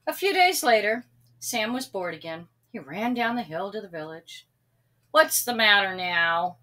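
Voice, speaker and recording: A woman reading a children's story aloud expressively, pausing now and then to address young listeners. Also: medium pitch, very animated, fairly bright tone. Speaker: storybook reader.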